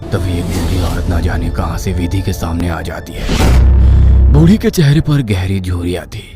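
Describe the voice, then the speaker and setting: A man's voice: deep voice, animated, a little slow. A man narrating a scripted horror story in a dramatic style, as a storyteller. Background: prominent music.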